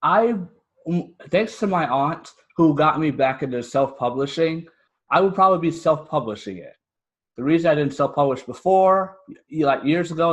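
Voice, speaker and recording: An American man speaking casually and earnestly as an interview guest. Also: a little high. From United States. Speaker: podcast guest, fiction writer and podcaster.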